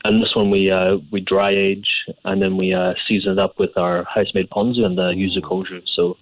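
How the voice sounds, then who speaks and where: A man's voice: low-pitched, flat delivery, a little fast, no room sound, phone-quality sound. A Scottish man, an executive chef, answering questions conversationally in an interview. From Scotland.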